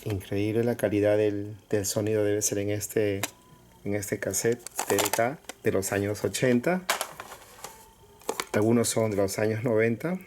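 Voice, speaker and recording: A man casually presenting items to viewers in short, halting phrases with pauses. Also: lowish voice, fairly even intonation. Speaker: collector showing cassettes for sale. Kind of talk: casual product showcase. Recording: clear background noise with clicks.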